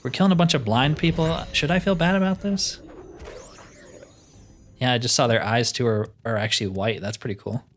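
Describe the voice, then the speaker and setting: A man's voice: medium pitch, normal speed, pauses often. Man casually narrating gameplay over music. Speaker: gamer narrating a roleplay playthrough. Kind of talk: casual gameplay commentary, thinking aloud. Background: game music.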